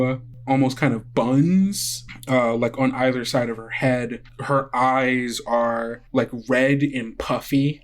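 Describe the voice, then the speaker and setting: A man narrating a tabletop game as game master, improvising hesitantly in a casual way. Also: mid-range voice. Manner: hesitant, frequent "like" and "kind of".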